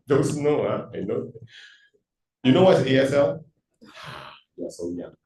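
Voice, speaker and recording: A man's voice: medium pitch, big pitch swings, takes it slowly, dark tone, recorded from a distance. Joking man hosting in a hall. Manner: joking, chatty, switches languages. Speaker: event host. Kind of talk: casual Q&A hosting with jokes. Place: event hall.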